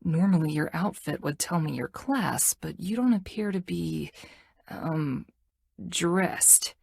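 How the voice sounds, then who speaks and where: Low, husky, brooding tough game heroine. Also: female voice, no echo, full character voice. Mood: awkwardly fond. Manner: dry, deadpan, halting when flirting. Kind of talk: video game character dialogue.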